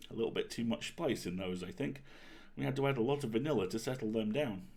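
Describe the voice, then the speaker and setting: A man reading as a young girl baker and wizard, chatty and self-deprecating, in an expressive confiding storybook manner. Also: medium pitch, gravelly.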